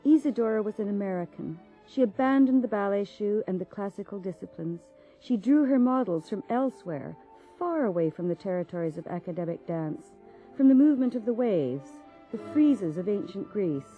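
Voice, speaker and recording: A woman giving measured, formal scripted narration for a documentary. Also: mid-range voice, expressive. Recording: dull lo-fi sound with faint music.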